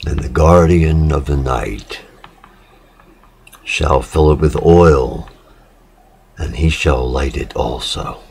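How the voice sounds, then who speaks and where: Very slow, low-pitched man reading solemnly. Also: vocal fry, a little mellow, slight background noise. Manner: deliberate, measured, with frequent pauses. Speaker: reader. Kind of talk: solemn literary prose-poetry reading.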